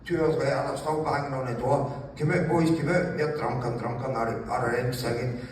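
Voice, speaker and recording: A man's voice: medium pitch, steady tone, fairly quick. A man, telling stories casually in a jokey, sweary way as an interview guest. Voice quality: gravelly. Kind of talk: animated casual storytelling. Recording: very echoey room, distant mic, faint noise.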